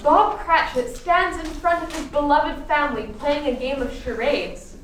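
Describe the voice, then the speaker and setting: Female radio-play narrator, high pitch, theatrical scripted delivery, roomy sound with faint noise. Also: expressive, moderate pace, recorded from a distance, faint music behind. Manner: theatrical storytelling. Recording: echoey room from afar, faint noise.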